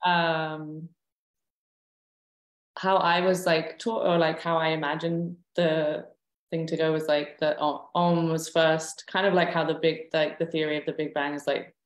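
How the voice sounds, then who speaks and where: Low-voiced London woman, hesitant, echoey. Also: flat delivery, measured pace. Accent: London English. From Southeast London, England. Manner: hesitant, many "like" and "um" fillers. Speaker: study-group participant asking a question. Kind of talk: casual question.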